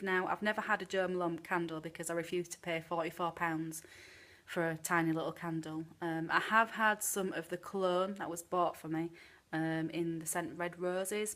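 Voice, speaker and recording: A woman's voice: lowish voice, a bit bright. A woman with a British accent giving a casual, frank candle review, a little chesty from a cold. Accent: British English. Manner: chatty, frank, many ums. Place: home room with candles burning.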